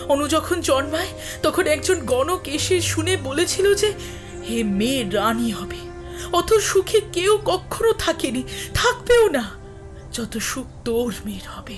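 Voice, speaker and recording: A woman's voice: sits high, sing-song, takes it slowly, music in the background, full character voice. An actress playing a proud, boastful mother who talks grandly about her daughter's fortune, in an audio drama. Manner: boastful, emphatic.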